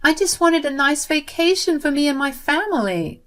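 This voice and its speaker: A woman putting on a shrill entitled sister-in-law. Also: expressive, moderate pace, a bit bright. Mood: tearful pleading. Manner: shrill and demanding.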